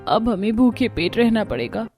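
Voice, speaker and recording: A woman's voice: a little high, normal speed, darkish tone, slightly rough. Woman as a meek pious young wife in a hindi cartoon, polite, pleading, light music under it. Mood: worried, polite. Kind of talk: Hindi cartoon story acting.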